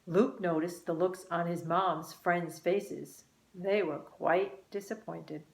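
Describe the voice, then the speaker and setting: A woman reading a children's story aloud as scripted narration, expressive and deliberate. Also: fairly low pitch, vocal fry. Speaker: storybook reader. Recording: roomy, echoing sound.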